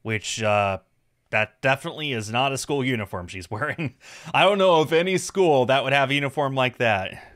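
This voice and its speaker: A man streaming a visual novel, reading the story aloud as expressive scripted narration. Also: medium pitch, moderate pace, dry recording, clean studio sound.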